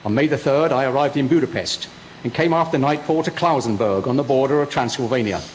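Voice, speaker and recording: A man's voice: mid-range voice, fairly quick, slight background noise, lightly acted, low-quality recording. An actor playing an earnest young English lawyer's clerk, narrating his journal tensely in a literary radio drama style. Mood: earnest, uneasy. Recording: loud music and effects behind.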